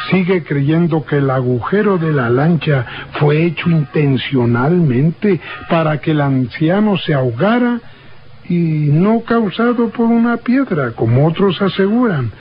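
An actor playing a grave, pious village priest in an old radio drama, with a solemn, deliberate delivery. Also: male voice, on the high side. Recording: muffled, noisy vintage radio recording.

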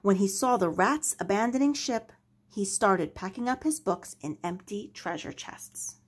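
A woman narrating a children's story aloud with expressive, dramatic delivery. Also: medium pitch, a little slow, husky. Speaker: storybook reader.

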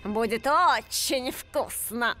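A woman's voice: on the high side, takes it slowly, loud music behind the voice. A woman voicing a gray-haired villainous older woman who gloats theatrically, in a cartoon dub. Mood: gloating. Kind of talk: Russian cartoon dubbing.

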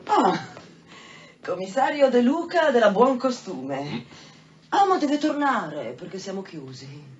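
Slow, guarded brothel madam. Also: female voice, mid-range voice, very animated. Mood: guarded, defiant. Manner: terse, unhurried, evasive. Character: guarded, curt, defiant female brothel madam. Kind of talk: Italian radio drama acting. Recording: dull sound with faint background noise.

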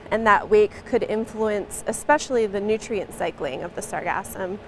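A woman's voice: mid-range voice, normal speed, background noise. A middle-aged woman marine scientist explaining her research in an interview, thoughtful, with a few fillers. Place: office.

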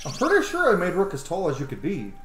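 A man's voice: high voice, wildly swooping pitch, fast, a bit bright. A man, giving casual, enthusiastic, sweary commentary while streaming a game. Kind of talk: casual let's play commentary. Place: small room at home, indoors. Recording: roomy home mic over game music.

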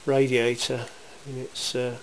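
A man giving an informal, matter-of-fact hands-on demonstration in a workshop, speaking in a measured way. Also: medium pitch, flat delivery. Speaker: welding demonstrator. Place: indoor cluttered workshop. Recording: hissy, noisy amateur camera sound.

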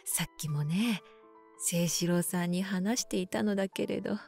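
A woman playing a frail, gentle elderly mother in a voice drama, speaking softly and tenderly as she reminisces. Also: on the low side, gravelly, soft background music. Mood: weak, tender. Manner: soft, tender, unhurried. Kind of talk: voice drama acting and first-person narration.

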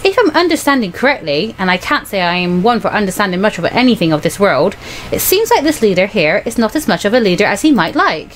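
A woman's voice: mid-range voice, big pitch swings, quick pace, a little hiss or hum. A woman reading a visual novel aloud as a let's play host, breaking off into playful, casual asides. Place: indoor room at home.

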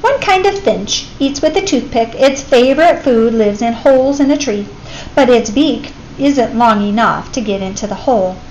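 A woman reading a children's picture book aloud in an expressive teacher's manner, addressing young listeners. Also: on the high side, moderate pace, lo-fi sound. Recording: clear background noise.

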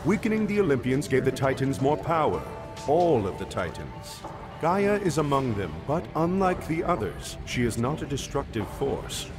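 A solemn, wise fatherly mentor, played by a man in a game cutscene. His mid-pitched voice rises and falls widely over prominent music. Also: big pitch swings, moderate pace, natural voice. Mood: solemn, guiding. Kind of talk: video game cutscene acting.

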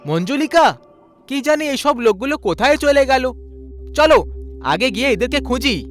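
A man's voice: extremely high pitch, lively intonation, fairly quick, voice pushed up. A man narrating a storybook, putting on a raised voice for a frightened young bridegroom who asks anxious, panicky questions.

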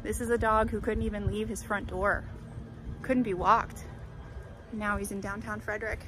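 A woman dog trainer explaining training methods in a clear, conversational way while walking a dog outdoors by a creek in a town center. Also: medium pitch, little pitch movement, normal speed. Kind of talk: instructional walk-and-talk. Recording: outdoor street noise.

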